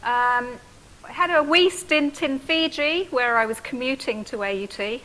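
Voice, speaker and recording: A woman's voice: high voice, unhurried, bright, forceful tone. A woman in her fifties with an English accent, giving a chatty, self-deprecating public talk to an audience. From England. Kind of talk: public lecture. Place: event room with an audience.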